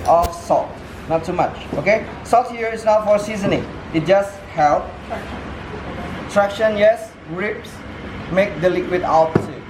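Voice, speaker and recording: A man's voice: high-pitched, unhurried. A man teaching a cooking class, speaking in simple, clipped English with patient instructions. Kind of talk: giving cooking instructions to a group. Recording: echoey room with music and crowd chatter.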